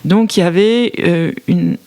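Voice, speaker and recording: A woman's voice: on the low side, unhurried, dark tone. A woman in her forties from Paris, an author answering interview questions in a reflective, hesitant way, with many fillers and restarts. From Paris, France. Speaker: author guest.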